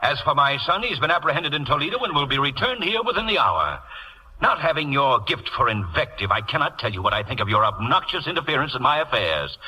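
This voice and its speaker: An actor playing a stern, affronted middle-aged householder in an old radio play, speaking with stiff, emphatic formality. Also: male voice, medium pitch, sing-song, quick pace, pushed and bright, low-quality recording. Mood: coldly triumphant. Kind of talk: 1940s-50s radio play acting.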